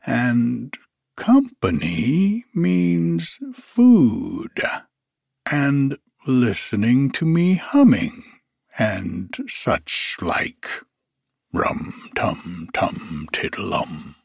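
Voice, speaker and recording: A slow, simple bear , as a man reading aloud puts him on, hums in a lilting sing-song and muses aloud in a lifted, creaky voice. He drawls every word with husky warmth, close and dry. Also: mid-range voice, wildly swooping pitch, no room sound, full character voice. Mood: musing. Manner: slow, sing-song humming, puzzled musing. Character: male bear, slow, simple, cheerful hummer.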